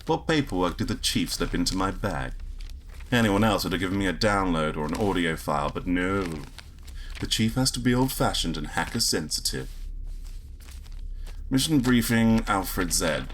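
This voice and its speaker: A man playing a grumpy, sardonic space agent in a sci-fi audio drama, with a husky voice and a wry, grumbling manner. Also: low voice, lively intonation, normal speed, fairly bright tone, slight background noise. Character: grumpy, trigger-happy male space agent, sardonic and exasperated. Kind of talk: first-person sci-fi audio drama narration and dialogue.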